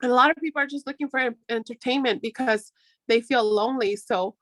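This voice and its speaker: A woman's voice: a little high, quick pace, a bit bright, no echo. A woman from Mexico whose first language is Spanish, talking casually and encouragingly in a workshop. She talks in a chatty way and often restarts her sentences.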